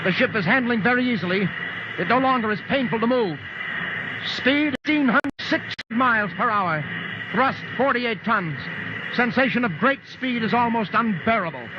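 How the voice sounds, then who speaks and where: Frightened high-voiced pilot, old noisy radio. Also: male voice, animated, normal speed, lo-fi sound. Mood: tense reporting. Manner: clipped reporting that breaks into panicked cries. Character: frightened male test pilot scientist, tense and urgent. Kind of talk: old-time radio drama acting.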